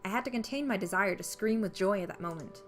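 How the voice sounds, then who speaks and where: A woman's voice: medium pitch, fast talker, faint music behind. A woman narrating a visual novel playthrough, reading the first-person story text aloud.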